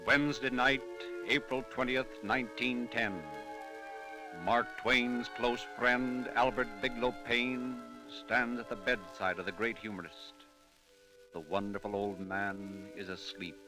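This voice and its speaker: An actor as a solemn narrator, reading scripted storytelling for an old-time radio drama. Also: male voice, medium pitch, flat delivery, a little slow, over music, close to an everyday voice, low-quality recording.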